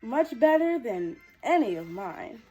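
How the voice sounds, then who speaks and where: A proud, loving mother from a children's storybook, read aloud by a woman. Her voice is fairly high and she takes her words very slowly. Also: very animated, dark tone, slightly rough, faint music behind, heavily acted, poor audio. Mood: proud, emotional. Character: Errol's proud, loving mom, exclaiming in storybook.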